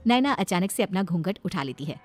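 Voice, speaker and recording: A woman's voice: lowish voice, lively intonation, fairly quick, faint music behind. A woman reading scripted narration as a storyteller.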